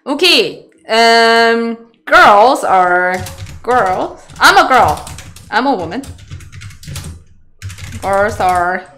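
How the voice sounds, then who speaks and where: A Korean woman in her thirties, a Go teacher answering chat questions on a webcam video call, chatty and halting in English with a Korean accent. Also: on the high side, animated, pushed and bright. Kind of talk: live online lecture Q&A. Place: small room indoors.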